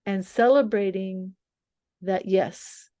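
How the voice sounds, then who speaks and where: An older woman from the US-Mexico border, bilingual in English and Spanish, talking about stories in a thoughtful, measured conversation with frequent restarts. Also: mid-range voice, fairly even intonation, warm tone, no echo, clean studio sound. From US-Mexico border region. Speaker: columnist. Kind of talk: reflective conversation.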